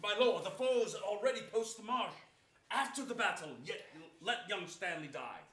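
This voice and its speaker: A man's voice: sits high, expressive, normal speed, fairly bright tone. An actor playing a dutiful, confident nobleman, a man reporting formally in projected Shakespearean stage style. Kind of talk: theatrical Shakespearean stage acting. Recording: echoey distant theatre stage, faint noise.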